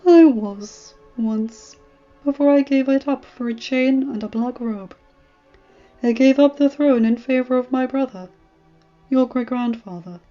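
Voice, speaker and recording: A woman voicing a kindly, wise, very elderly male maester in a fantasy story, speaking in a courtly, measured way. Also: high voice. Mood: warm, proud. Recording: muffled audio with music.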